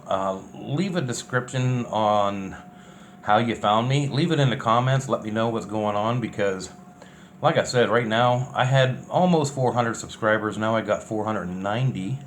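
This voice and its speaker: Male vlogger, unscripted and offhand, everyday plain talk, faint background noise. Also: lowish voice. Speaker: vlogger documenting a bus build. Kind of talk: casual unscripted vlog chat.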